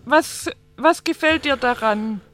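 A woman hosts a casual radio interview and keeps her questions short and direct. Her high, bright voice sits close and dry on the mic, with no room sound behind it. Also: slow, no echo. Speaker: radio presenter.

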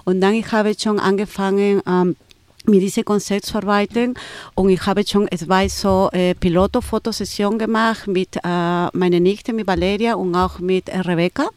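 Woman speaking halting German. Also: mid-range voice, fairly even intonation, darkish tone, no echo. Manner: halting non-native German with many fillers and restarts. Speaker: photographer and event organizer. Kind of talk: interview answers.

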